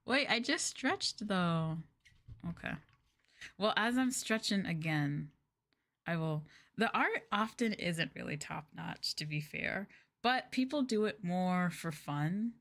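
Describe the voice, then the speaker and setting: A woman's voice: lowish voice, lively intonation, slow, a bit bright. A woman streaming as a VTuber, chatting casually in a rambling, giggly way with lots of filler words. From United States. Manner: giggly and playful.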